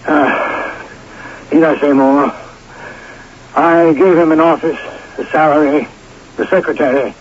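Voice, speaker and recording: A man's voice: fairly high pitch, steady tone, unhurried, pauses often, lightly acted. A man playing a grumbling, self-important older perfume maker in a radio drama, complaining in an emphatic, theatrical way. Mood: exasperated. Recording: dull, noisy old radio broadcast.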